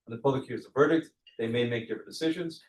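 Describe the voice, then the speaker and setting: A man working as a prosecutor, questioning a jury panel in a quick, conversational way. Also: medium pitch, slight background noise. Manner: fast, conversational, explains with examples. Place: large courtroom. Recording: echoey courtroom from far off.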